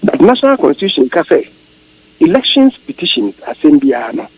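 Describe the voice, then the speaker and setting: A man's voice: a little high, very animated, fairly quick, a little mellow, husky, no room sound, rough recording. A man with a Ghanaian accent explaining emphatically in a radio interview, repeating phrases and asking rhetorical questions as he switches between Twi and English. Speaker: political interviewee.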